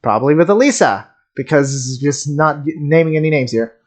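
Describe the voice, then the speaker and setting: A man streaming casual game commentary, excitable and jokey, rambling as he reacts. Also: a little high, moderate pace.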